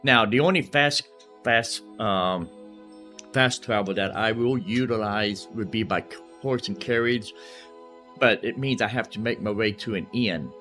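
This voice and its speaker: A man's voice: on the low side, measured pace, pushed and bright. A man giving casual, rambling gameplay commentary in a folksy way, as the host of a gaming channel. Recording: game music behind the voice. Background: game music.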